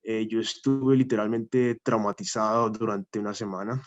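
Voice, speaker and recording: A man giving an academic talk as a guest lecturer, speaking in a measured, hesitant way with many "eh" fillers. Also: fairly low pitch, flat delivery. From Colombia. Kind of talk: academic talk with a personal story. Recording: muffled lo-fi audio.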